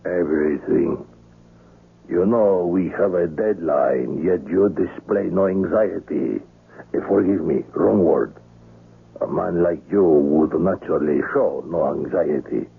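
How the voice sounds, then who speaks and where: Husky, courteous underworld host. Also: male voice, low-pitched, exaggerated voice. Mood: suave, probing. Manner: formal, courteous, measured speech. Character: suave, wary, courteous older male underworld host named Messina. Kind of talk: 1970s radio drama acting. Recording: muffled, tinny vintage radio recording.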